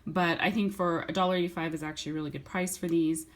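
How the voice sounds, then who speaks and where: A middle-aged woman with a slightly hoarse voice from a cold, chatting casually and rambling through a haul video at home. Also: on the low side, fairly even intonation, fast talker. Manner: chatty, rambling, with many restarts and fillers. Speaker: vlogger.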